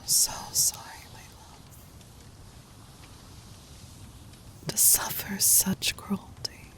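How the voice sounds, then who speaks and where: A woman playing a gentle, doting demon queen in an ASMR roleplay, in a soft breathy whisper with tender, formal, intimate delivery. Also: takes it slowly. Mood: tender, sorrowful.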